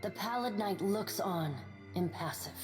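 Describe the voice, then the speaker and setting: A woman's voice: medium pitch, a little slow. Woman narrating fantasy over music. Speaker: game narrator.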